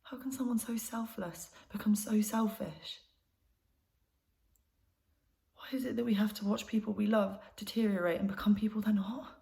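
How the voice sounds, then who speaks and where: A woman's voice: fairly low pitch, a little fast, natural voice. A young woman acting an intimate, conversational monologue as a troubled granddaughter confiding in her mother. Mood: anguished, guilty. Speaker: actor performing a self-written monologue.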